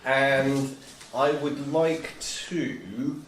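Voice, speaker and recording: A man thinking aloud in a deliberate way as a player in a casual tabletop role-playing game. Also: mid-range voice, steady tone. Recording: echoey room, distant mic, faint noise.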